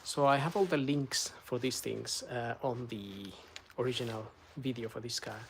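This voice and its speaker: Middle-aged guy, spanish his first language, chatty how-to while fixing a car, mid pitch, bit of faint noise behind. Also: moderate pace, creaky. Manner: chatty, relaxed, talks to live chat viewers. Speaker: car maintenance vlogger. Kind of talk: casual how-to chat while working.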